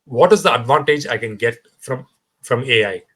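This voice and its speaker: A man with an Indian English accent, speaking as a podcast guest in a conversational interview, animated and full of fillers and restarts. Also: medium pitch, normal speed.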